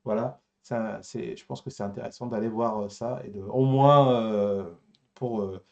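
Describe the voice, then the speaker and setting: A man's voice: lowish voice, fairly even intonation, unhurried, gravelly, a little mellow, dull audio. A man co-hosting a casual live talk show, joking and teasing with wordplay.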